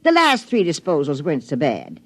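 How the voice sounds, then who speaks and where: A woman's voice: deep voice, huge pitch swings, normal speed, dry recording, heavily acted. An actress playing a domineering, menacing old mother with a folksy, needling way of talking in a 1950s radio drama. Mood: stern, threatening. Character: domineering, menacing old mother, gin-drinking fortune teller.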